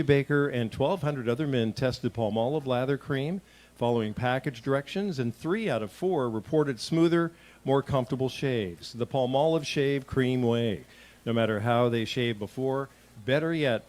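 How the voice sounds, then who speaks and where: A man's voice: medium pitch, darkish tone. A man announcing in old-time radio style, reading sponsor copy and credits with a formal, salesmanlike delivery.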